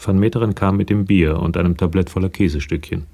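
Male radio drama narrator, very low, creaky and mellow, telling a crime story. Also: fairly even intonation, fast talker.

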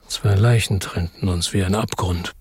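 An actor playing a brooding, world-weary hero who narrates a crime story in a radio drama, introspective and grave, with a husky voice. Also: male voice, sits low, fairly even intonation, normal speed, no room sound, clean studio sound.

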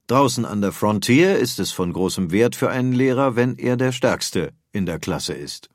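A man's voice: on the low side, animated, normal speed, no room sound, clean studio sound. A man narrating a scripted radio documentary.

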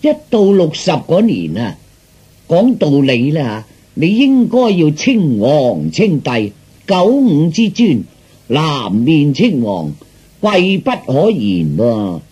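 A man acts out a grandiose street fortune teller from a comic audio story. His husky, fairly high voice swings widely as he flatters and haggles. The patter is slow, declamatory and sing-song, drawn out for emphasis. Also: exaggerated voice. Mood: grandiose pronouncing. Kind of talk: theatrical Cantonese comic storytelling.

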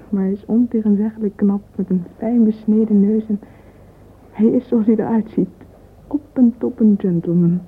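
An actress playing a dreamy, lovesick young woman in a radio play, thinking aloud in a husky, yearning voice. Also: medium pitch. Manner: wistful, yearning. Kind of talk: radio drama interior monologue. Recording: muffled, phone-like radio play recording.

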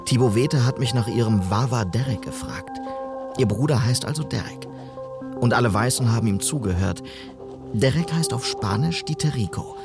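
A man's voice: mid-range voice, vocal fry. An actor playing an inquisitive, polite traveller in a German western radio drama, probing and thoughtful as he pieces things together.

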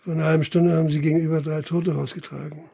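An actor playing a wry, knowing puppeteer in a radio drama, speaking in a measured, teasing way with a husky voice. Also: male voice, on the high side, level tone, warm tone. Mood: dry, wry. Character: wry, unhurried male puppeteer of the old town, knowing and secretive. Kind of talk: German radio play acting.

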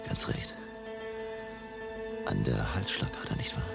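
A commanding older medical professor in a radio drama. His voice is rock-bottom low and heavy with creak, and he instructs in short, grave phrases that swing in pitch. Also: big pitch swings, loud music behind the voice, heavily acted. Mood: hushed, probing. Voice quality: deep, gravelly. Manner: slow, terse, instructing.

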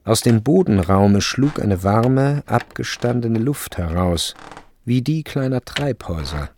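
A man giving measured, deliberate narration in a literary radio drama. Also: mid-range voice, expressive, a little mellow, slight background noise.

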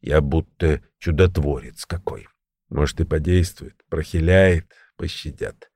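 A man voicing a weary, ailing crippled criminal veteran in an audiobook, creaky and short of breath, talking slowly in prison slang. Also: extremely low pitch, darkish tone, dry recording, voice pushed down, exaggerated voice. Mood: weary, resigned. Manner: slow, labored prison-slang speech.

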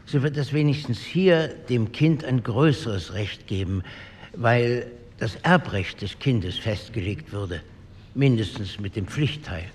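An actor playing a sober member of a postwar assembly, speaking formally and matter-of-factly. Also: male voice, animated, slow pace, husky. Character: sober male social democrat parliamentarian. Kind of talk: re-enacted parliamentary speech. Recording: hall reverb, low rumble, faint noise.

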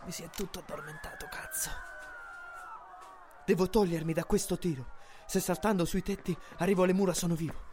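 A man's voice: high-pitched, fairly even intonation, a little fast, a little mellow, slight background noise, lightly acted. A man acting a desperate, haunted fugitive rebel in a fragmented, brooding radio drama monologue. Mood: tense, urgent. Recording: music under the voice.

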